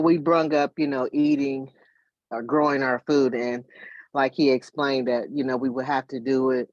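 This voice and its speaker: A man speaks slowly and haltingly in a group discussion, pausing often with fillers. His audio sounds dull and dry. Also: mid-range voice, no echo. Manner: hesitant, halting. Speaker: meeting participant. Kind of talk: casual discussion.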